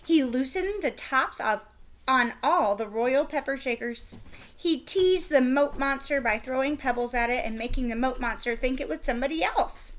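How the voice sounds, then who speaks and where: A woman teacher reading a chapter book aloud to children with expressive, animated storytelling. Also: high voice, normal speed.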